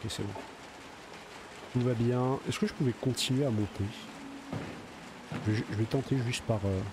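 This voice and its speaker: A man's voice: medium pitch, noisy background. A man with a slight cold, chatting casually to himself while playing a video game. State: a bit of a cold. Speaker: let's play gamer. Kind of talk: casual gameplay commentary.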